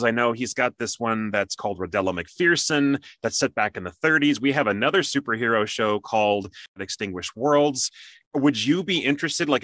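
Male host, bright clear-cut tone, chatty, upbeat interview style, dry close sound. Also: medium pitch, dry recording.

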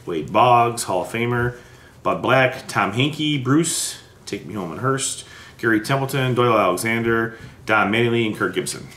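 A man's voice: medium pitch, lively intonation, moderate pace, a bit bright. A middle-aged American man giving casual running commentary as he sorts through collectibles at a table, with playful asides. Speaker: vlogger opening vintage sports card packs. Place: indoors at a wooden table in a room. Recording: roomy echo, background hiss, handling clicks.

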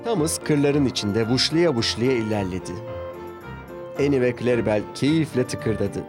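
A man's voice: mid-range voice, a little slow. A man narrating a scripted children's story as the storyteller. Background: background music.

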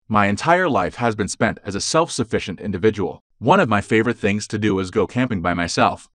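A man reading a scripted celebrity voice-over in an even, read-aloud delivery. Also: on the low side, a little fast, dry recording, studio quality. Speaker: narrator.

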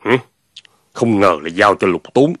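A man's voice: on the low side, lively intonation, measured pace, fairly bright tone, no echo, clean studio sound. A man playing a proud, commanding veteran general in a dubbed historical cartoon, stately and self-assured. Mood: haughty, commanding. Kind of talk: dubbed animated historical drama.

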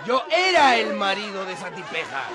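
A coarse, vengeful betrayed husband in a radio serial. His voice is pushed extremely high with indignation, and a crowd clamors around him. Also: very animated, moderate pace. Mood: indignant. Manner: shouting, indignant, abusive. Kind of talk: radio drama acting.

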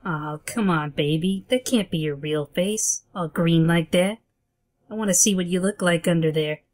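A woman's voice: on the low side, normal speed, darkish tone, lightly acted. A woman playing a cool, determined young femme fatale in a comic-book dub. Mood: coaxing.

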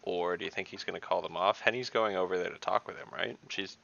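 A man playing a tabletop game over a video call, musing haltingly in casual discussion. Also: low-pitched, steady tone, creaky, a crowd in the background. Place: indoor room, video call. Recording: dull video call audio.

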